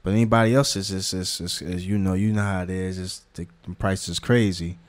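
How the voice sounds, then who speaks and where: Low-voiced man with a laid-back slangy drawl. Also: a little fast. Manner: relaxed slangy talk with many restarts and fillers. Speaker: magazine founder. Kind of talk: casual interview conversation.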